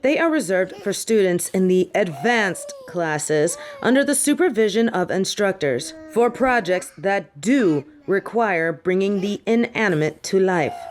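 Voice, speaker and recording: A woman playing a stern, contemptuous older headmistress of a magic school in a fantasy audio roleplay, speaking in a haughty, formal, measured way. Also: medium pitch, lightly acted.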